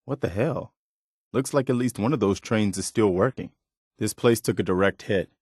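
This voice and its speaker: Earnest militia soldier game companion, lowish voice, folksy plain talk, super dry recording. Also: male voice, normal speed, a little mellow, breathy edge, dry recording. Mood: earnest, wary. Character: earnest, dutiful male militia soldier in a post-apocalyptic wasteland. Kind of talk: video game companion voice lines.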